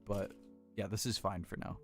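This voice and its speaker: An American man with a deep voice chatting casually and rambling a little as he hosts a livestream on a close desk microphone. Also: flat delivery, normal speed, creaky, faint music behind. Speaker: livestream host building model kits. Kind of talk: casual chat with live viewers. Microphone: close desk condenser microphone.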